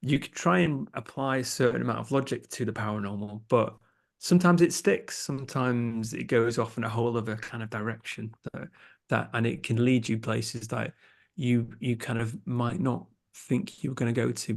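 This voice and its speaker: A man's voice: mid-range voice, steady tone, moderate pace, creaky. A young man with an English accent, a podcast producer chatting as a guest in a casual interview, hesitant, with many fillers and restarts. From England.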